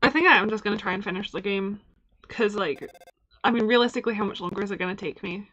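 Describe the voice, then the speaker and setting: A woman's voice: mid-range voice, quick pace, fairly bright tone, lo-fi sound. A young Scottish woman from Glasgow streaming a game, chatting in a rambling way, laughing often and reading the on-screen text aloud. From Glasgow, Scotland.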